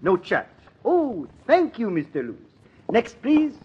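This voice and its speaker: A high-pitched, obsequious barber for old-time radio comedy. The voice swoops with courteous flourishes, on a dull, faintly hissy recording. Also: male voice, wildly swooping pitch, a little slow, husky, full character voice. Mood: fawning. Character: fawning, fancy, courteous French barber. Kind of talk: 1950s radio comedy acting.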